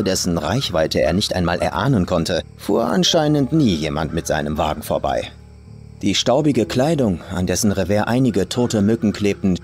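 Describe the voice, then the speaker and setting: A man narrates a horror story in a fairly low voice with very lively, dramatic intonation. Faint atmospheric music runs behind his scripted reading. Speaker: audiobook narrator, professional voice actor. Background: faint music and atmospheric sounds.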